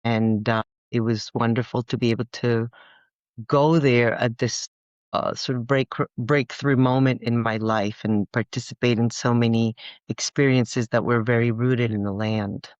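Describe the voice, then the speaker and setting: A woman's voice: very deep voice, steady tone, a little slow, a little mellow, dry recording, lo-fi sound. A woman, speaking as an architect guest in a thoughtful, earnest way. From Costa Rica. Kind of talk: reflective interview talk.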